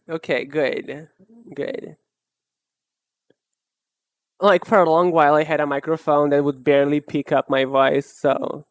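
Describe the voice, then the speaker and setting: A young woman streamer chatting casually while she draws, rambling and self-mocking in a soft, low-key way. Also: fairly even intonation, slow pace, long pauses, no room sound. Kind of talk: casual chat while drawing live.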